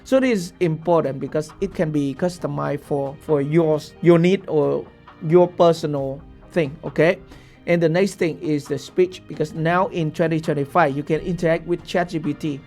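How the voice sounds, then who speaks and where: A young man whose first language is Vietnamese talks through a software tutorial step by step, often pausing to ask "okay?". His voice sits a little high with a gravelly fry. Faint music plays quietly beneath him in a small room. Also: steady tone. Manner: explains step by step with frequent "okay?". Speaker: tutorial host. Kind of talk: screen-share software tutorial.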